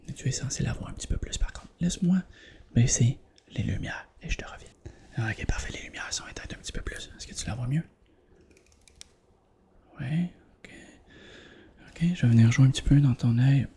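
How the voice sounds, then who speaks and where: A man with a Quebec French accent doing a soft, whispered ASMR roleplay as an examiner, gently repeating phrases and checking in with the listener. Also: medium pitch, normal speed, long pauses. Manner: gentle, repetitive phrasing with reassuring check-ins. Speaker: ASMR performer playing an eye examiner.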